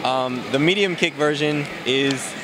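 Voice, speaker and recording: A man casually walking through a game character's moves, explaining in a relaxed way with many fillers, recorded at a noisy event. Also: medium pitch, unhurried. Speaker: game developer demoing a character. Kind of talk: casual gameplay walkthrough. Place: convention floor, noisy.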